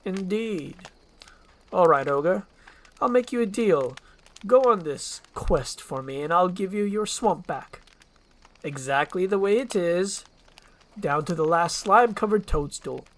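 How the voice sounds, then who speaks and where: A scheming villain lord from a storybook tale , acted out by a solo narrator. He proclaims pompously and talks down to his listener. The pitch rises and falls theatrically. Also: animated, unhurried. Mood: bargaining.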